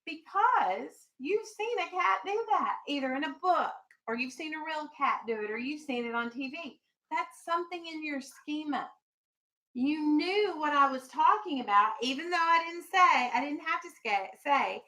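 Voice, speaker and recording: A woman teaches an elementary class in a high, bright voice. She explains and reads aloud in an instructional way, putting questions to pupils and keeping them firmly on task. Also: low-quality recording. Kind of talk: classroom reading lesson and read-aloud.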